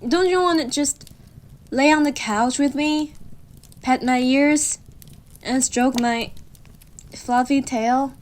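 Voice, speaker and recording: A woman's voice: high voice, slow, pauses often. A woman voicing a needy, bossy, playful wolf girlfriend in an intimate roleplay audio, pouty and teasing as she speaks straight to the listener. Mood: coaxing, teasing. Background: computer keyboard typing.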